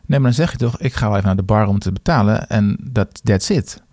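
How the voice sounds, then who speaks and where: A man's voice: on the low side, fast, dark tone, dry recording, clean studio sound. A man co-hosting a podcast in casual conversation, hesitant and often repeating himself as he searches for words. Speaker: podcast co-host.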